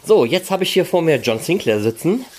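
A man's voice: on the high side, very animated, brisk, darkish tone. A man hosting a fan podcast, asking loosely phrased questions in an informal, chatty interview style.

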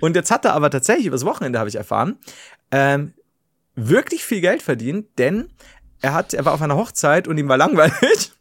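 A man chats on a podcast, his voice sitting a little high and swinging widely in pitch. He tells stories with animated energy, slipping into different voices whenever he quotes someone. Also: a little fast. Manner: animated storyteller, acts out quotes in changing voices. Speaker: podcast co-host. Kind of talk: casual chat.

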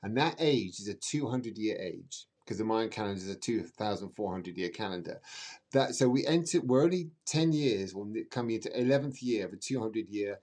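A man's voice: lowish voice, expressive, brisk, darkish tone. A man speaking as an astrologer guest in an interview, explaining emphatically in a rambling way and repeating words for emphasis.

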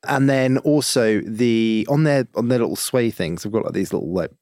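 A man's voice: mid-range voice, normal speed, a little mellow, no echo, studio quality. A British man co-hosting a podcast in casual, bantering chat. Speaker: podcast co-host.

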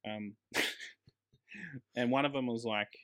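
A man in a casual film review chat, talking as a podcast co-host. He rants like an exasperated fan and throws in slang and swear words. His voice sits at an ordinary middle pitch. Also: drawn-out words, a bit bright.

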